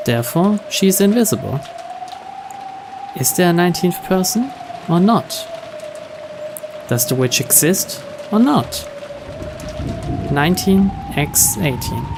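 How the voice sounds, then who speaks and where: Male narrator, slightly high voice, dramatic scripted reading, visual novel, clear rain noise behind. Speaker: narrator reading game text aloud.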